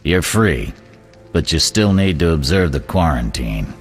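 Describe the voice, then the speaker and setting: Very deep, stern guard captain. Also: male voice, sing-song, measured pace, faint music behind, heavily acted. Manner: curt, authoritative. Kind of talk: video game character acting.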